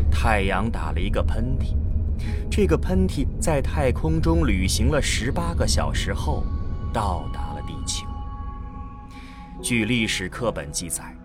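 A man gives dramatic scripted narration for a science fiction audio drama, in a storytelling style. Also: lowish voice. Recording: background music under the voice. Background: music.

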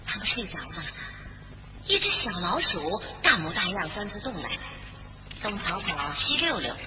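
A woman storyteller narrating a scripted children's bedtime tale in an expressive style. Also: medium pitch, moderate pace, slight background noise. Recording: dull roomy recording with music behind.

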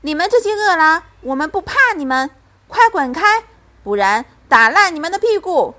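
Woman playing a protective storybook mother, animated pitch. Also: normal speed. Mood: fierce, shouting. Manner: soft coaxing that turns to bold commanding. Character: young mother, tender with her child, fiercely protective.

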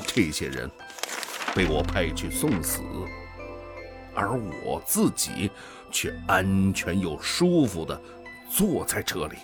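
An actor playing a weary, guilt-ridden older male commander in a radio drama, brooding and deliberate with sighs. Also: deep voice, big pitch swings, music in the background, exaggerated voice. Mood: guilty, resigned. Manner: brooding, deliberate, sighing soliloquy.

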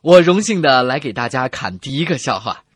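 A man's voice: medium pitch, big pitch swings, brisk, fairly bright tone, husky, no room sound. A man co-hosting a scripted comedy radio show, bantering and telling jokes in a playful, theatrical way.

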